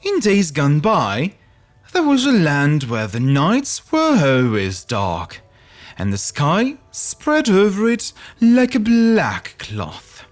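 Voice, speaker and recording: A man narrating a scripted fairy tale in a theatrical, deliberate storytelling manner, as a podcast host would. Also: on the high side, wildly swooping pitch, faint music behind.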